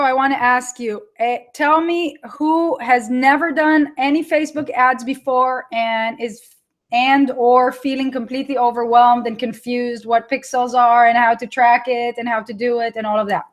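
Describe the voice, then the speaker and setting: Israeli woman in her thirties, webinar trainer, chatty and warm-pitched, laughs easily. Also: steady tone, normal speed. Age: 30s. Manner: chatty, laughs often, talks to viewers by name. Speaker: online marketing trainer. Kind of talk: live webinar teaching.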